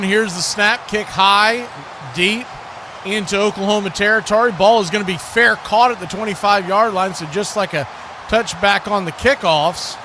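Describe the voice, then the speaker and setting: A man's voice: high voice, background noise. A man hosting a livestream, calling football play-by-play and chatting casually with viewers. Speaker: livestream host and play-by-play commentator.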